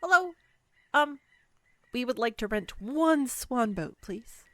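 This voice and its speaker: A woman playing a clumsy, nervous, giddy young woman on a first date in a romantic audio roleplay, rambling awkwardly and self-deprecatingly with halting stumbles. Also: on the high side, animated, sharp tone, no room sound, heavily acted. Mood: flustered, embarrassed. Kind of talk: scripted romantic audio roleplay addressed to the listener.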